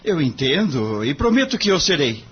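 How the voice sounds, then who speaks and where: A courteous young lawyer from an audio drama, played by a man. His voice is a little high and slightly husky, with formal, deferential phrasing. The sound is somewhat muffled. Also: very animated, moderate pace. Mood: courteous, concerned. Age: young adult.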